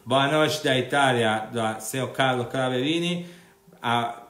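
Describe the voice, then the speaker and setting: A man's voice: medium pitch, slow, fairly bright tone. Italian man speaking Portuguese, echoey room. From Italy. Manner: hesitant, restarts often. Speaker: interviewer and channel host. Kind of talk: casual online interview.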